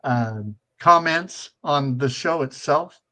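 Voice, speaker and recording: A man's voice: on the high side, slow pace, bright, forceful tone. A man in his seventies hosting a casual live-stream talk show, rambling with many fillers and restarts. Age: 70s.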